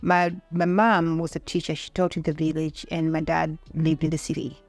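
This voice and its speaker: A woman's voice: low-pitched, flat delivery, moderate pace, soft background music. A middle-aged woman with a Kenyan accent talking about her own life in a conversational interview. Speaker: podcast guest.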